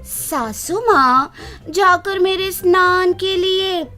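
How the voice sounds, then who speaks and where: A woman's voice: very high voice, extremely slow, pushed and bright, faint music behind, pitched above the performer's own voice, exaggerated voice. A woman playing a greedy, imperious young daughter-in-law who gloats and gives orders in a children's moral story. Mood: gloating, bossy.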